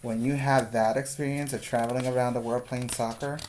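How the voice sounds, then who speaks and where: A male teacher reading a picture book aloud to preschoolers, pausing to ask the children questions. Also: medium pitch, flat delivery, a little mellow, slight background noise.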